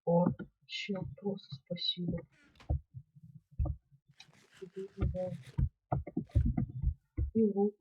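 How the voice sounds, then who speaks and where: A young man casually commenting on a game he plays, slightly ill and clearing his throat, mostly muttering to himself. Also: sits high, drawn-out words, pauses often. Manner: mutters to himself while playing, then talks excitedly to viewers. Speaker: gaming channel host. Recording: dull lo-fi mic.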